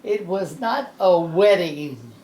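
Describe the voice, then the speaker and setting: Woman in her 90s, gravelly throat, low voice, rambly old family stories w lots of uh's, a little background hiss. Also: expressive, darkish tone, reverberant, poor audio. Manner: rambling, halting with many uh's. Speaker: birthday celebrant. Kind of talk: casual family storytelling.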